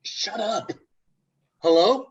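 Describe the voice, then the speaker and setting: Man playing a husky, awkward, exasperated guy. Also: high-pitched, expressive, drawn-out words, highs cut off, full character voice. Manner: hesitant, stammering, indignant. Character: awkward, lovelorn, defensive man, easily exasperated. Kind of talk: play table read. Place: remote video call reading.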